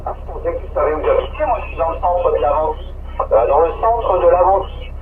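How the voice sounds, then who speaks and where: A man's voice: on the high side, brisk. A man chatting casually as an amateur radio operator, rambling and often searching for his words. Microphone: two-way radio transmission. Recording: noisy, tinny, distant two-way radio link.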